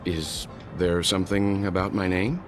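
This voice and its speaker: A guarded, authoritative spacefarer from a video game. His voice is extremely low and he speaks formally and deliberately. Music is clearly audible behind him. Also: level tone. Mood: polite. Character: stern, composed, guarded male space-traveling crew veteran.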